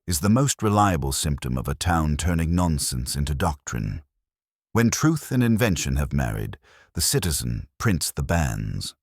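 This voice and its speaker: A man playing a calm, measured police inspector with a formal, wry way of talking in a mystery audiobook. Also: extremely low pitch, gravelly, no room sound, studio quality, heavily acted. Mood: grave.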